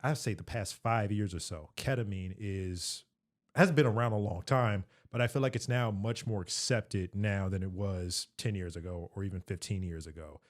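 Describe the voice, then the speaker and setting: A man's voice: on the low side, moderate pace. A man hosting a podcast, chatty and upbeat as he welcomes and questions a guest. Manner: upbeat and friendly when introducing, asks questions loosely. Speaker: podcast host. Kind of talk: casual interview talk.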